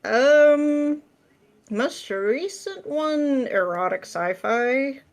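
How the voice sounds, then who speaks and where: A woman's voice: high-pitched, expressive, very slow. A woman talking as a podcast guest in an informal, wry, joking conversational manner. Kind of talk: casual chat.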